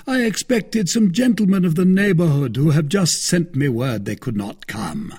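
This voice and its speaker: A man voicing a big, vain, boastful gentleman in a hearty, pompous manner for an audiobook. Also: on the high side, lively intonation, moderate pace, breathy edge, pitched above the performer's own voice.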